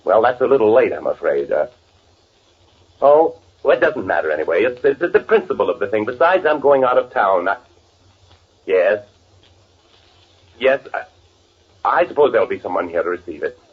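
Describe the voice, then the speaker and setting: An actor playing a timid, fussy, evasive twin brother with a nervous stammer in an old-time radio drama. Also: male voice, fairly low pitch, very animated, moderate pace, long pauses, breathy edge, phone-quality sound. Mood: flustered, peevish. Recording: band-limited old radio drama recording.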